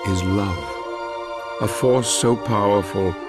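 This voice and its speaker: An older man acting as a solemn, portentous and brooding narrator of a dark fantasy game, speaking in measured dramatic narration. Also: lowish voice, animated, over music. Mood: grave, reflective. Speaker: story narrator.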